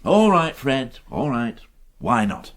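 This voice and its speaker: An actor playing an insistent, impatient senior detective in a radio drama, brusque and argumentative. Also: male voice, mid-range voice, sing-song, slow pace. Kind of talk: naturalistic radio drama acting.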